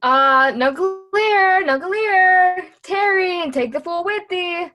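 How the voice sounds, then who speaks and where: A woman's voice: very high-pitched, slow pace, muffled recording. A woman playing a cheeky, riddling boy jester with a teasing, sing-song delivery in Shakespeare. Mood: sing-song mocking. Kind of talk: Shakespearean reader's theatre acting.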